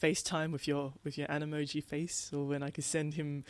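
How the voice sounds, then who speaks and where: A woman's voice: low-pitched, flat delivery, brisk, no echo. A woman co-hosting a podcast in casual conversation, telling things in a rambling way. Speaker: podcast co-host. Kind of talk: casual chat.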